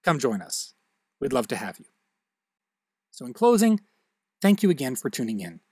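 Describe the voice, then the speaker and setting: A man's voice: mid-range voice, wildly swooping pitch, normal speed, darkish tone, husky, no echo, clean studio sound. A man hosting an audio drama podcast, reading a scripted outro in friendly direct address to listeners. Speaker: host and producer of audio drama.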